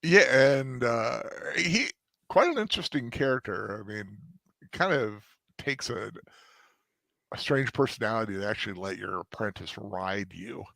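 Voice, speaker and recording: A man co-hosting a casual review discussion, talking in a hesitant, halting way with many restarts. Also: on the low side, lively intonation, creaky, pushed and bright, no room sound, clean studio sound. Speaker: co-host.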